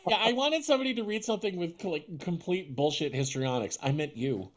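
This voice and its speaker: Low, swooping, sardonic woman reading aloud. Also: expressive, brisk. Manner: sardonic, theatrical, sweary. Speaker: podcast host and script narrator. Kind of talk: comedic live table read and banter.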